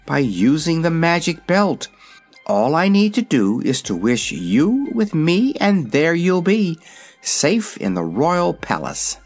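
A man's voice: a little high, very animated, slow, soft background music, pitched above the performer's own voice, exaggerated voice. A man voicing a cheerful, confident young girl in a fairy-tale story. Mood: reassuring. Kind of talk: storybook character voice.

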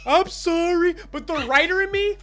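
Bright-voiced, emphatic, sweary man. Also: very high voice, moderate pace. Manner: emphatic, profane, repetitive griping. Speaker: podcast co-host. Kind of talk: casual opinionated review chat.

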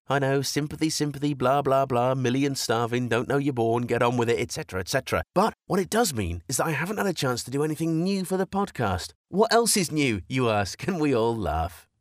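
A man's voice: medium pitch, no room sound, studio quality. A man hosting a podcast with wry, self-mocking, scripted comic narration. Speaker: podcast host.

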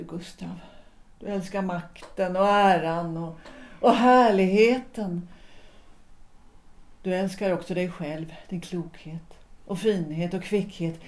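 An actress playing a weary but resolute wife in a period audio drama, speaking in a deliberate, reflective way with measured pauses. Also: female voice, medium pitch, darkish tone, lightly acted. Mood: resigned, gently reproachful. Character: weary, resolute wife and former opera singer, 1900s Stockholm. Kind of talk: period stage-play audio drama acting.

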